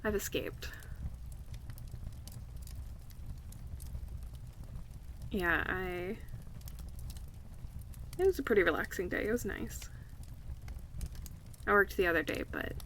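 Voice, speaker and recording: A woman playing an affectionate, playful close friend in a casual, relaxed ASMR roleplay, chatting and teasing in a conversational way. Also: on the low side, unhurried, long pauses, a little hiss or hum, exaggerated voice. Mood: relaxed, chatty.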